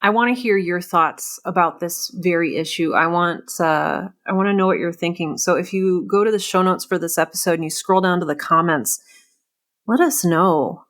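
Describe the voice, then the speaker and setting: A woman with a somewhat low-pitched voice hosts a conversational interview. She muses openly and puts questions in a reflective, searching manner. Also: moderate pace, fairly bright tone. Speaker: podcast host and interviewer.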